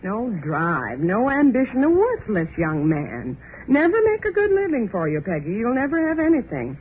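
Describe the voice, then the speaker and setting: Lecturing middle-aged mom, highish voice, phone-thin old radio sound. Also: female voice, huge pitch swings, normal speed. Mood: stern. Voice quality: insistent, lecturing. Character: stern disapproving middle-aged mother scolding her daughter. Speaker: mother. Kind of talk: 1930s radio acting. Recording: tinny old radio recording with faint hiss.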